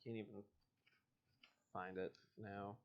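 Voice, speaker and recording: A man hosting a livestream storybook read-aloud, animated and joking, with casual self-deprecating asides. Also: on the low side, slow, highs cut off. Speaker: host reading a book aloud on a livestream.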